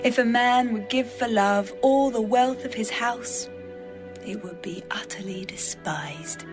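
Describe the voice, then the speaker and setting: A woman playing a tender, devoted young bride in love, in a measured, poetic dramatised Bible reading. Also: a little high, music in the background, natural voice. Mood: fervent.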